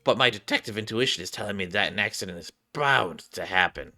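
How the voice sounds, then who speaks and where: Pompous young woman sleuth for a game read by a man, accusing, theatrical, forceful and bright. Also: fairly low pitch, fast talker, voice pushed down. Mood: determined. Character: overzealous, suspicious young female detective.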